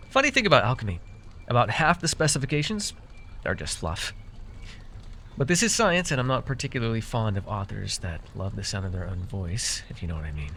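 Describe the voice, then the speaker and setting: Casting: male actor as fantasy herbalist-mage, medium pitch, proud, theatrical, easily flustered, intimate roleplay ASMR delivery, slight background noise. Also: bright, forceful tone. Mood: instructing, wry. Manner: theatrical boasting that slips into sheepish, stammering asides. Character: proud, easily exasperated young magical herbalist who gave away his name.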